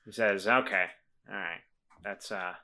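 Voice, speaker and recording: Hesitant man with a bright voice. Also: lowish voice, fairly even intonation. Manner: hesitant, halting with repeated restarts. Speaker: player. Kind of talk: casual tabletop roleplay.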